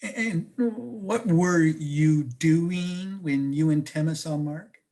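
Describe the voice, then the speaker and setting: A woman's voice: low voice, takes it slowly, mellow. A woman acting a prying, incredulous close friend in a conversational comic one-act, with teasing, probing questions.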